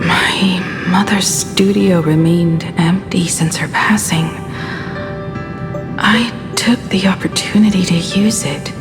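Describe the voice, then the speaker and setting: An actress voicing a composed, wistful but quietly defiant woman in a video game, hushed, breathy and measured. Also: lowish voice, fairly even intonation. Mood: guarded, polite. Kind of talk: dramatic video game voice acting. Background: background music.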